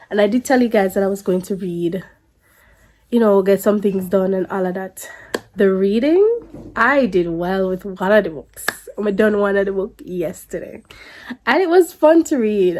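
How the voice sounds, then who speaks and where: A woman's voice: mid-range voice, a little mellow. A young woman vlogger, congested from a cold, chatting casually to camera with many restarts. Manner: chatty, stops and restarts, rambling. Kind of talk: casual vlog chat to camera.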